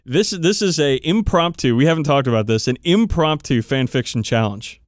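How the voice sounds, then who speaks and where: A man's voice: a little high, animated, normal speed, no room sound, clean studio sound. A man co-hosting a podcast in casual chat, emphatic, irreverent and full of swearing. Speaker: podcast co-host. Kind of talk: casual banter about tabletop wargaming.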